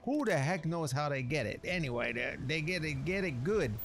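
A man's voice: fairly high pitch, expressive, normal speed, over music. A man doing rambling in-character game commentary in a colloquial, streetwise wiseguy manner. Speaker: gameplay narrator playing a storyteller character.

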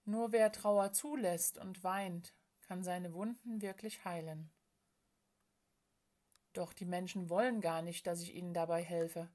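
A woman plays a frail storybook spirit of sorrow, female and bodiless. She speaks in a hushed, plaintive way, timid and tired, as one who feels misunderstood and shunned. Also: on the low side, fairly even intonation, unhurried, pitched below the performer's own voice, lightly acted. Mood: sorrowful, earnest. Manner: hushed, hesitant, sighing, plaintive.

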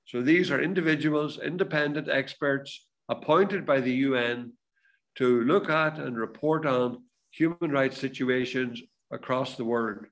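A man's voice: medium pitch, unhurried. An older man giving a methodical webinar presentation over a video call. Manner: steady, methodical explaining.

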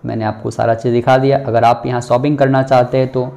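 A man's voice: medium pitch, little pitch movement, quick pace, creaky, warm tone. Chatty young man touring a mall. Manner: chatty, promotional, asks shop staff about prices. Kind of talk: casual walk-through vlog. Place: busy indoor shopping mall.

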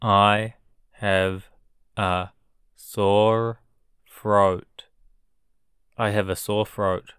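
A man's voice: on the low side, level tone, drawn-out words, pauses often, a bit bright, clear, resonant tone, no room sound, studio quality. A man teaching English words for illness, saying each word three times and then each sentence word by word. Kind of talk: slow drilled vocabulary reading.

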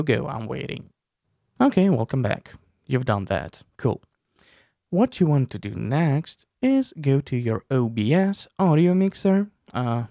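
Slow, animated young man, creaky voice. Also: medium pitch, no echo, studio quality. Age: young adult. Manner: informal, step-by-step explaining with playful asides. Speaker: tutorial host, streamer. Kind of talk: casual software tutorial. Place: small room at a desk, indoors. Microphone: close desk microphone with headphones.

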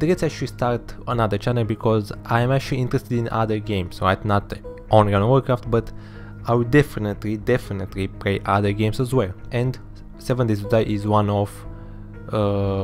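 A man's voice: lowish voice, a little mellow. Romanian-accented man, rambling gameplay talk. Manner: halting, many fillers and restarts, says "actually" constantly. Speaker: gaming channel host. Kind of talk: casual gameplay commentary. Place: small room indoors. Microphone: desk microphone close to mouth.

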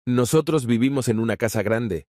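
A man reading short scripted language drill sentences as a teacher, enunciating clearly and deliberately. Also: medium pitch, darkish tone, no echo, clean studio sound.

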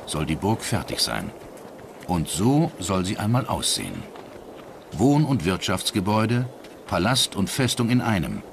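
A man reading scripted documentary narration in a measured, factual way. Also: on the low side, background noise.

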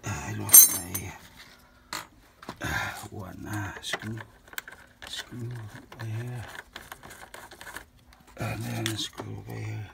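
A handyman explaining a repair step by step in halting speech with many fillers, working outdoors on a patio with a phone camera. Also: fairly low pitch, fairly even intonation, vocal fry, heavy background noise. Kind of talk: hands-on repair demonstration.

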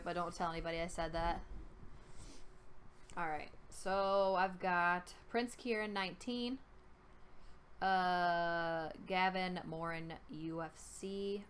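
A woman's voice: mid-range voice, slight background noise. A young woman with a New York accent, chatting casually on a live stream and reading out names in an informal way. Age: young adult. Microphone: headset.

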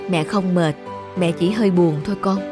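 An actress playing a gentle, weary widowed mother in a cartoon drama, speaking softly and soothingly with some hesitation. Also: lowish voice, normal speed, music in the background, close to an everyday voice. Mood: sad, tender. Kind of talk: animated drama dubbing.

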